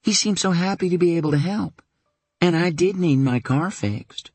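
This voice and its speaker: A man's voice: high voice, moderate pace, a little mellow, no room sound, pitched above the performer's own voice. A man voicing an uneasy, guarded woman who answers tersely and defensively. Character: anxious, guarded adult woman, flustered by romance.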